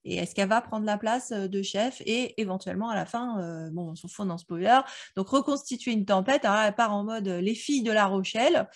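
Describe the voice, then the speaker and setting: A woman co-hosting a book club podcast in casual, slangy, jokey discussion, telling stories in an animated way. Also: medium pitch, a little fast, hardly any pauses. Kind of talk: casual discussion of an audio drama.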